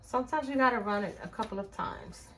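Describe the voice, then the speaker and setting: Middle-aged Puerto Rican woman hosting a home crafts tutorial; friendly, chatty delivery at an average pitch, with a little noise behind her. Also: fast, bright, forceful tone. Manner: chatty, narrates her hands-on work to viewers. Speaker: crafts channel host.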